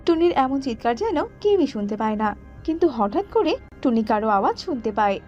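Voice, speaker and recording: A woman giving scripted narration for an animated children's story. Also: fairly high pitch, a little fast. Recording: slightly dull audio with background music.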